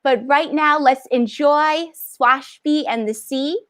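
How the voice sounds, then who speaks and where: A woman's voice: high voice, takes it slowly, fairly bright tone, no room sound, studio quality. A woman hosting a library event, introducing an author in an upbeat, enthusiastic way. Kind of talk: introducing a guest.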